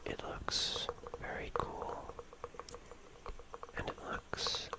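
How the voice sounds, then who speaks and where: ASMR streamer, breathy whisper, very slow, deliberate short phrases, musing style. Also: poor audio.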